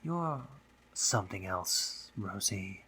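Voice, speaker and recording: A man playing a teasing, dominant, courtly spider monster in an intimate audio roleplay, theatrical and breathy, often dropping into whispers. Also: sits low, animated, takes it slowly, sharp tone, slight background noise, heavily acted. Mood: playful, fond. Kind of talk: intimate scripted audio roleplay, addressing the listener.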